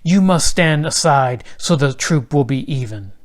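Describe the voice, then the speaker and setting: A man's voice: a little high, expressive, unhurried. Guy doing a storybook bug sergeant, firm but sorry, formal army orders. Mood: firm, apologetic.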